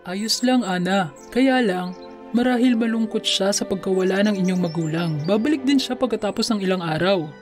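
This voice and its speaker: A woman voicing a calm, cautioning young prince in a fairy tale cartoon, measured and reassuring. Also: medium pitch, lightly acted. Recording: music under the voice.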